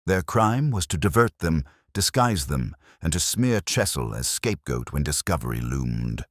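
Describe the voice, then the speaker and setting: A man voicing a grave, brilliant gentleman detective in a storybook, formal, measured and oratorical. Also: rumbling low, sing-song, no room sound, clean studio sound, full character voice. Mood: grave, explaining.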